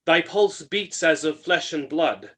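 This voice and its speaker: A man's voice: on the high side, a little slow, bright, forceful tone. A man reading the part of a grieving, bewildered shipwrecked king in an unrehearsed Shakespeare play, with dramatic declamation. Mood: awed, repentant.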